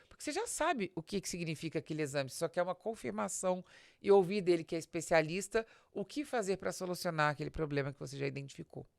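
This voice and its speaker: A woman's voice: fairly low pitch, no room sound, clean studio sound. A woman with a Brazilian Portuguese accent hosts a business podcast in a conversational, explanatory monologue, addressing the listener directly with questions and examples. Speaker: podcast host and business advisor.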